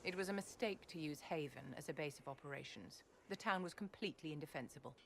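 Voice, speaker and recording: An actress playing a poised, steely court adviser in a video game, speaking in a formal, measured, imperious manner. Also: female voice, sits low, creaky, slight background noise. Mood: stern, reproving. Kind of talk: video game character acting.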